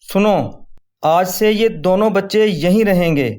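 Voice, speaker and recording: An actor playing a firm, protective uncle in a cartoon moral story, speaking with authority. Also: male voice, sits high, measured pace, darkish tone. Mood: firm, stern.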